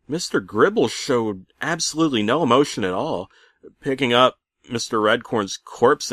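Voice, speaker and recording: A man narrating a comic creepypasta theatrically, swinging between hushed and loud delivery. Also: on the low side, no echo, studio quality. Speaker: horror story narrator.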